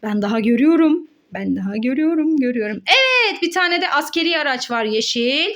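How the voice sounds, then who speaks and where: A young woman playfully hosting a children's game as a mother, encouraging and coaxing in an animated, sing-song way. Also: high-pitched, a little fast.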